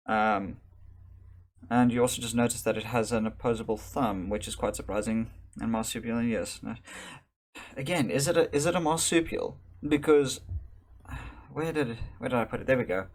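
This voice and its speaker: Rambling, wry man from South Africa. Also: medium pitch. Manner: rambling, self-correcting, wry asides. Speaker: live streamer. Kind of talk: casual live-stream commentary. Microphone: desk microphone.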